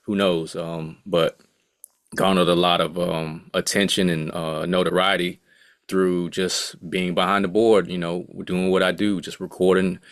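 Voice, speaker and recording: Give me a man in his late thirties telling his career story in a relaxed, rambling way, with a very low voice. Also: a little slow, no echo, studio quality. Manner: laid-back, rambling, full of asides. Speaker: guest, music producer and engineer. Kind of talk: casual interview talk.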